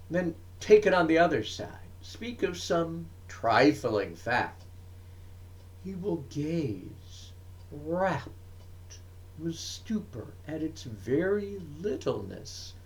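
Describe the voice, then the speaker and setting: A man recites poetry dramatically in a somewhat high voice. His pace is extremely slow and deliberate. He breaks the lines into short, emphatic phrases with frequent pauses. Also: slight background noise, low-quality recording. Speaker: reader of poetry.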